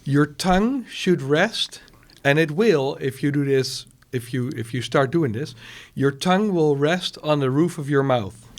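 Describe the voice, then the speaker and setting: Eager man explaining with a higher voice. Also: expressive, unhurried. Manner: eager explainer, repeats himself. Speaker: podcast co-host. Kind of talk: casual chat.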